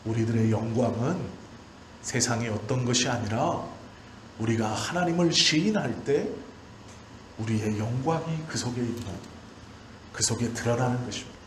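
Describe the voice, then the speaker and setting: A man's voice: mid-range voice, lively intonation, normal speed, pauses often. A man in his forties, a pastor delivering a sermon in emphatic, repeated short phrases. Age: 40s. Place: church hall during a service. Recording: roomy church echo, faint background noise.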